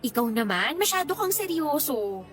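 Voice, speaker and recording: Young mom in a radio drama, highish voice, candid n defensive, soft music behind. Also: female voice, sing-song, moderate pace. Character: dissatisfied young mother crushing on partner's brother, defensive, candid. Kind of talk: Tagalog radio drama dialogue.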